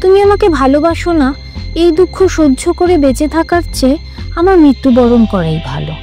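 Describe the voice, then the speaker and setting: Storybook heroine, acted by a woman narrator: lovelorn, plaintive, swooping high voice, soft tone, music behind. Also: expressive, normal speed. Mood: plaintive, melodramatic. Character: lovelorn young woman, wounded, melodramatic and secretly playful. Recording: music under the voice.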